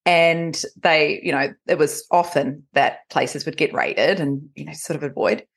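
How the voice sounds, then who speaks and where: Kiwi woman in her forties, slightly low voice. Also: steady tone, unhurried, vocal fry. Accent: New Zealand. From New Zealand. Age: 40s. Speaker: podcast guest, recovery coach. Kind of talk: conversational personal storytelling.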